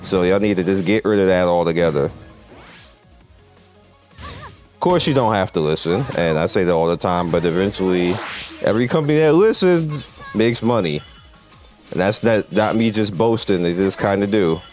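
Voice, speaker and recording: A man's voice: low-pitched, big pitch swings, normal speed, pauses often, darkish tone. A man hosting a gaming channel, giving casual, off-the-cuff commentary in a blunt, opinionated way over gameplay. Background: game music.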